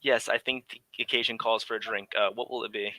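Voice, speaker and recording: A man's voice: on the low side, fairly even intonation, fairly quick, pushed and bright, no room sound. A man playing a breezy, cynical English aristocrat in exile, in a theatrical radio drama manner. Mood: breezy, pleased. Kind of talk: 1940s radio drama acting.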